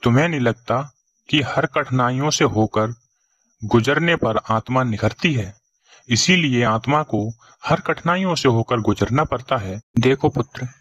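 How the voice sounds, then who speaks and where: A man voices a benevolent, patient god in a cartoon fable. He is measured and solemn, like a reassuring father giving instruction. The sound is dry and a little muffled. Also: medium pitch, fairly bright tone, no room sound. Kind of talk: Hindi cartoon moral story dialogue.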